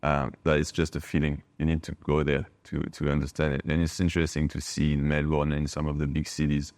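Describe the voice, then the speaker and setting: A man's voice: very deep voice, monotone, a little fast, a little mellow. A man from France with a French accent, a native French speaker, talking reflectively and emphatically as an interview guest. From France, a small village in Bordeaux. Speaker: podcast guest, wine bar owner.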